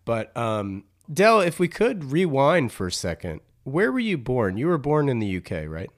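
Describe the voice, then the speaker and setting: A man's voice: mid-range voice, wildly swooping pitch, moderate pace. A man hosting a podcast, chatty and enthusiastic as he interviews his guest. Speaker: podcast host. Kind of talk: casual interview chat.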